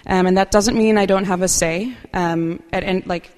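A woman speaking softly and unhurriedly at a recovery meeting, sharing her own experience with many fillers. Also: mid-range voice, fairly even intonation, moderate pace, in a large hall. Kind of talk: personal share at a meeting.

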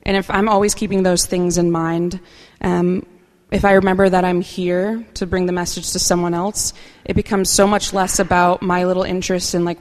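A woman speaking softly and unhurriedly at a recovery meeting, sharing her own experience with many fillers. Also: lowish voice, in a large hall. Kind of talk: personal share at a meeting.

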